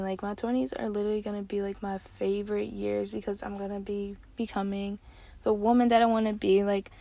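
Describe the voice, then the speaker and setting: A woman's voice: medium pitch, dry recording. Chatty nineteen-year-old woman, dry sound. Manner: chatty, filler-heavy, excitable. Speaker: podcast host. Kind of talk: casual solo podcast chat. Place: parked car.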